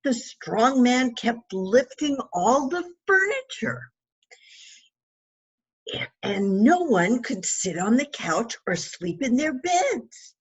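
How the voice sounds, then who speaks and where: A woman reads a picture book aloud in a high, slightly husky voice. She swings widely in pitch for dramatic emphasis and takes her time, pausing for asides to young listeners. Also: very animated, muffled recording. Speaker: children's author reading aloud. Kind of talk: animated storybook read-aloud for children.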